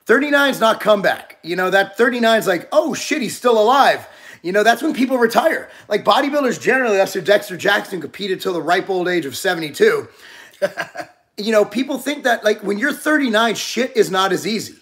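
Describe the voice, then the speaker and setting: A man in his forties talks in a loose, rambling way, restarting his sentences as he chats with a co-host. His voice sits high for a man and has a gravelly edge. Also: expressive, a little fast, a bit bright. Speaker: podcast co-host. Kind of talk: casual chat.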